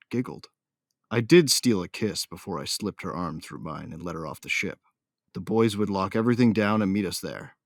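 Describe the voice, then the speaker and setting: A man's voice: low-pitched, dry recording, studio quality. A man playing a cocky, hot-tempered bounty hunter who tells his own story in a brash, colloquial, hard-boiled way. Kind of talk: first-person dramatised audiobook narration.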